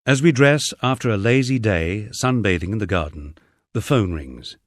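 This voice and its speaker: A man's voice: lowish voice, lively intonation, moderate pace, no room sound, studio quality. A middle-aged man with an English accent reading a first-person memoir as a scripted narration. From England.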